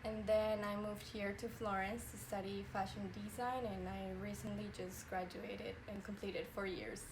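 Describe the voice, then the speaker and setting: A woman's voice: medium pitch, flat delivery, normal speed, crowd noise, poor audio. A woman in her twenties from Colombia, answering interview questions casually, giggling and filling her talk with "like" and "you know". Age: 20s. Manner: chatty, giggly, many fillers like "like" and "you know". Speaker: fashion design graduate.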